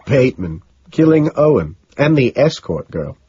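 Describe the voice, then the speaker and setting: A man's voice: medium pitch, sing-song, moderate pace, mellow, dull audio, a little hiss or hum, voice pushed up, close to an everyday voice. A man voicing a smug, dismissive, upper-class socialite with an affected, condescending drawl. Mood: amused.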